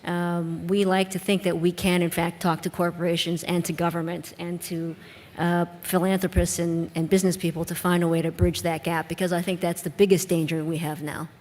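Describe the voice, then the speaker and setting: A Midwestern American woman speaking on a panel, her voice sitting fairly low. She answers in a measured, reflective way and often restarts her sentences. The sound carries the long reverb of a big conference hall. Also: little pitch movement, a little mellow. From United States. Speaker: educator on a panel.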